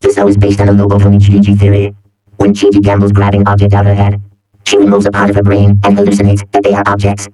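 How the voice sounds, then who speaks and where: A man giving a rapid explainer voice-over in a distant, echoey recording under music. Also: fairly low pitch, completely flat delivery.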